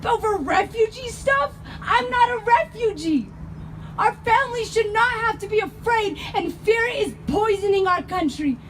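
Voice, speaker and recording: A young woman from Denver performing spoken word poetry, rhythmic and impassioned, building to shouted lines. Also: very high voice, animated, unhurried, noisy background. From Denver, Colorado.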